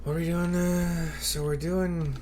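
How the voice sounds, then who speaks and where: A man's voice: on the high side, level tone, a little mellow. Rambling man, casual game commentary, noisy recording. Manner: rambling, halting, offhand. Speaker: video game streamer. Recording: clear background noise, keyboard typing.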